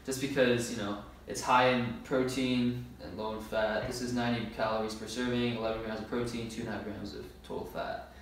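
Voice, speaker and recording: A man's voice: mid-range voice, level tone, a little fast, hardly any pauses. A man of about twenty talking in a laid-back, informal, slangy way, like a casual vlogger. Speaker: fitness vlogger. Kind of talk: casual grocery haul vlog. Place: bare-walled room indoors. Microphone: camera a few metres away. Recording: echoey room, distant mic, faint noise.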